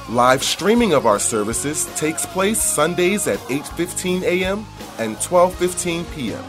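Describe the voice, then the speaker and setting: A man reading a scripted broadcast announcement in a clear, measured way. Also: fairly high pitch. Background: music.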